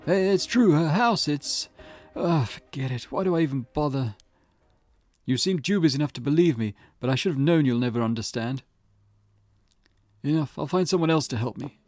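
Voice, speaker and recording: A man's voice: fairly high pitch, lively intonation, moderate pace, darkish tone, faint music behind, pitched above the performer's own voice, natural voice. A man voicing a frightened, pleading male client with a nervous stammer, reading visual novel dialogue. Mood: exasperated.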